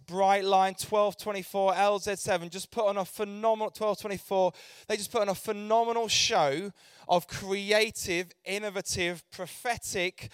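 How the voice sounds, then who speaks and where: A man's voice: sits high, clear, resonant tone, no echo, clean studio sound. A man leading a youth ministry, speaking passionately to a church audience with emphatic, repeated rallying phrases. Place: church event hall.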